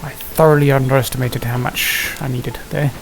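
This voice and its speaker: A man's voice: medium pitch, steady tone, moderate pace, vocal fry, poor audio. A man giving casual gameplay commentary, muttering to himself in an understated way. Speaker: gaming video host. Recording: game music behind the voice. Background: video game music.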